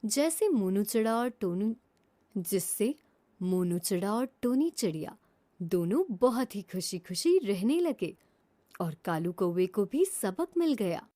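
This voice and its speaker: A woman narrating a children's cartoon moral story in a lively storytelling way. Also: mid-range voice, slow.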